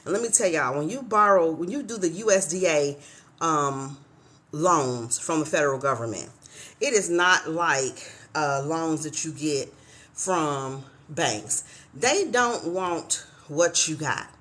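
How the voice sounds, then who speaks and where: A woman's voice: low voice, animated, slow pace, fairly bright tone. A woman in her fifties from Chicago, hosting a casual livestream chat from a hotel room and addressing viewers as y'all. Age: 50s. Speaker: livestream host.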